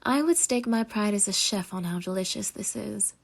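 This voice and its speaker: A woman playing a sweetly possessive, obsessed girlfriend in an intimate roleplay audio, coaxing and dramatic with breathy asides. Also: medium pitch, normal speed. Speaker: ASMR voice actor.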